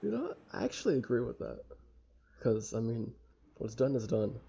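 A young man with a casual, halting, sweary manner reading a visual novel aloud and commenting as he plays. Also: medium pitch, sing-song, vocal fry, warm tone, slightly rough. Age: young adult. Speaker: let's play streamer. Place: small room at home. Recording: dull lo-fi mic with faint noise.

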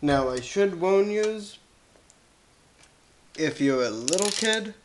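Slow-talking young man with a crackly cold voice. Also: high-pitched, expressive. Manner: halting, clears throat often. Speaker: YouTube host. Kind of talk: casual teardown vlog narration. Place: carpeted room indoors.

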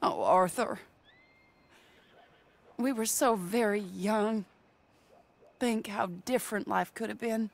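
An actress playing a genteel, earnest young American lady in a western video game, proper and gently pleading. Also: mid-range voice, slow, gravelly, pauses often. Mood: wistful, pleading. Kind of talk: video game cutscene dialogue.

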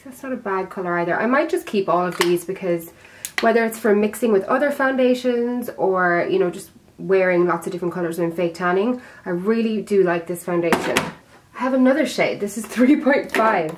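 A young woman vlogging casually at her makeup drawers, chatty and self-deprecating, her voice a little raspy from being sick. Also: mid-range voice, normal speed, background noise. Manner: chatty, self-deprecating, talks while handling products. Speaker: beauty vlogger. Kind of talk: casual chat to camera.

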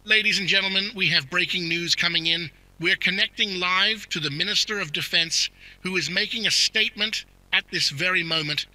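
An urgent, formal male news anchor played by a man announces in a high voice. The sound is very bright and dry. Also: moderate pace, dry recording, rough recording.

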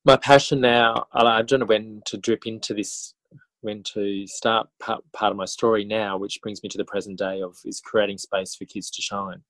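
Low-voiced Australian man, reflective. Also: steady tone, normal speed, a bit bright, no echo, low-quality recording. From country New South Wales, Australia. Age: 40s. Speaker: interview guest, schoolteacher and author. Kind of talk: casual podcast interview.

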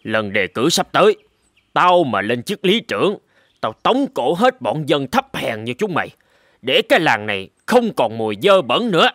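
Sneering cartoon village bully. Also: male voice, on the high side, sing-song, normal speed, a bit bright, no room sound, studio quality. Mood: angry, sneering. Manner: sneering, scolding. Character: scornful, bullying rich village man, middle-aged, hot-tempered. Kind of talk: animated folk-tale cartoon dub.

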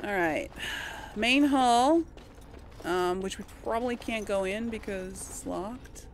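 A woman's voice: mid-range voice, slow, slight background noise. A woman giving casual, chatty gameplay commentary, talking to herself and to the game's characters. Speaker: host of a role-play gaming series. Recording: game music behind the voice. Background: video game music.

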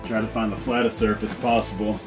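Laid-back man with a low voice. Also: a little fast, over music. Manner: relaxed, rambling, many fillers and restarts. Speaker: DIY vlogger. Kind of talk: casual how-to narration. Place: tiny house interior and outdoor deck.

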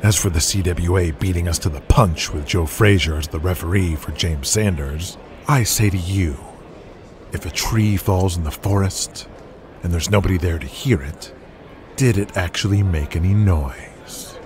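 A man's voice: low-pitched, moderate pace, background noise, close to an everyday voice. A man playing a cold, domineering wrestling promoter. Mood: lecturing. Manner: cold, controlling, lecturing. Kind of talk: audio drama acting.

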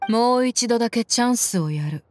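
A woman's voice: a little high, lively intonation, moderate pace, slight background noise, close to an everyday voice. An actress playing a cool-headed, tough young mercenary woman in a video game, with a blunt, commanding manner. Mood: stern. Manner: blunt, commanding, tomboyish speech. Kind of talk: Japanese game voice acting.

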